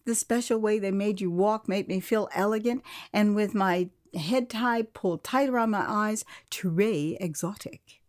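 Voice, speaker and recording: An American woman narrating her own first-person memoir as theatrical audio drama, expressive, drawing out words for emphasis and dropping in chatty asides. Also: mid-range voice, measured pace, hardly any pauses. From United States.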